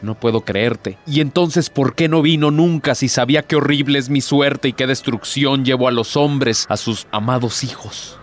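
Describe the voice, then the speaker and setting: A man's voice: mid-range voice, moderate pace, few pauses, lightly acted. Guy doing a bitter proud devil in a radio play, sarcastic n theatrical, bit of music behind. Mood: bitter, accusing. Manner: theatrical, rhetorical, sardonic.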